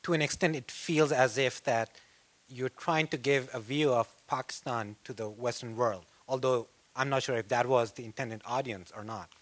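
A man in the audience asking a two-part question, polite and formal, a little halting. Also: mid-range voice, fairly even intonation.

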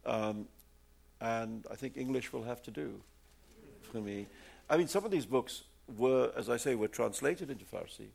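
Gravelly, husky older man. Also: mid-range voice, moderate pace, a little mellow. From India. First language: Urdu. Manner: rambling with many fillers and repeats. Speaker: author answering audience questions. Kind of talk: live audience Q&A.

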